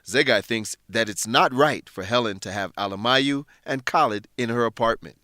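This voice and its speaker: A man narrating a scripted English lesson in a deliberate, instructive way. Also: mid-range voice, sharp tone, no room sound, studio quality.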